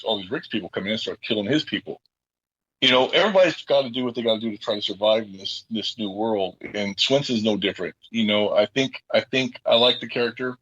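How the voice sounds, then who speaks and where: A man's voice: fairly low pitch, fairly bright tone, breathy edge, dry recording, dull audio. A man giving casual, unscripted interview answers as a guest voice actor, rambling on in a chatty, earnest way.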